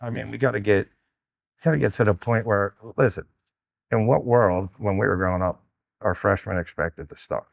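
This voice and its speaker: A man's voice: fairly low pitch, moderate pace, creaky, no echo. A man in his fifties from New Jersey, a wrestling coach talking candidly and casually in an interview. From New Jersey, United States. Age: 50s. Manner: candid, old-school. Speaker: high school wrestling coach.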